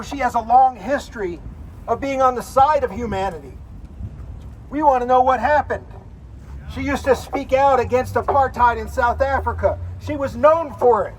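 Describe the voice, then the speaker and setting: A man's voice: extremely high pitch, slight background noise, rough recording. A man welcoming people at a rally outdoors on a city street. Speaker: rally speaker. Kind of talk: speech at a rally.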